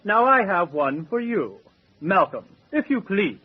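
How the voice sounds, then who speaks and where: A man's voice: high-pitched, big pitch swings, a little slow, husky, exaggerated voice. An actor playing a suave male villain aboard ship, formal and coolly courteous, in old-time radio style. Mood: cool menace. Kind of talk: old-time radio adventure revival acting. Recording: dull radio sound, faint background noise.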